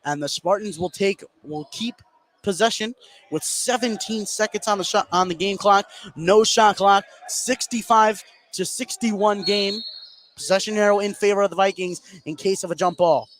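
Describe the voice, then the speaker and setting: A man's voice: high-pitched, moderate pace, a bit bright. An American man giving live play-by-play commentary as a basketball announcer.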